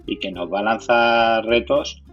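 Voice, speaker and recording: A man's voice: mid-range voice, unhurried, fairly bright tone, lo-fi sound, soft background music. A man from Spain with a Castilian accent, answering interview questions conversationally, sometimes repeating words as he searches for phrasing. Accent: Castilian Spanish. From Madrid, Spain. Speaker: interviewee, board game community organizer.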